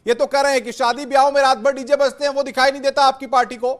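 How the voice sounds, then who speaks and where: High-pitched male news anchor. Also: little pitch movement, racing, a crowd in the background. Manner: insistent, repeats points. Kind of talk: live debate moderation.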